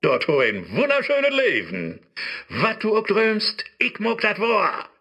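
A scheming devil of a radio play, high and slow, swooping from low growls up to high sneers, with a smooth, mocking tone. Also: male voice, huge pitch swings, bright, forceful tone, heavily acted. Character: sly scheming male devil living in a phone app. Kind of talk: rhymed radio play acting.

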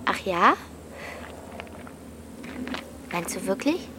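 A woman's voice: mid-range voice, very animated, moderate pace, background noise, close to an everyday voice. An actress playing a defiant, vain thirteen-year-old girl in a wartime radio drama, pert and insistent. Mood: coy, defensive.

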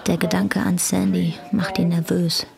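A woman's voice: on the low side, level tone, moderate pace, gravelly, crowd noise. A woman narrating a radio drama in a cool, detached way, at times close to a whisper.

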